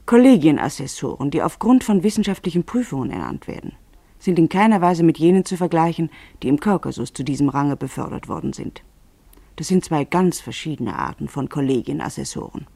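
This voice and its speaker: Radio play narrator, literary prose, measured, explanatory, mock-formal, dry studio acoustic. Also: mid-range voice, vocal fry, warm tone, no room sound.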